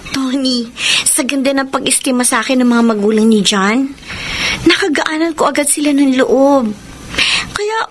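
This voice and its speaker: An actress playing a sweet, polite young nursing student in love in a Filipino radio drama. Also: female voice, high-pitched, normal speed, a little hiss or hum, lightly acted. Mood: cheerful, content.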